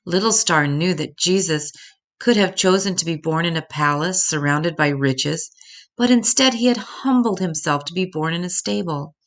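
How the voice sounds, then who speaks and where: A woman narrates a picture book for children in a low, gravelly voice. Her delivery is expressive storybook reading, with creak at the edges of the voice. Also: moderate pace.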